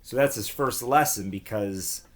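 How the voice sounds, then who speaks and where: A man reading a children's book aloud like a teacher, pausing to explain and ask young listeners questions. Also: on the low side.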